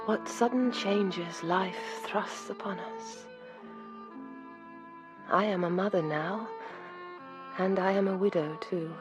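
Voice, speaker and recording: A woman's voice: medium pitch, close to an everyday voice. An actress playing a grieving young widowed mother in a period radio drama, tender and sentimental. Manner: slow, sentimental, doting. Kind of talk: literary radio drama acting. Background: music.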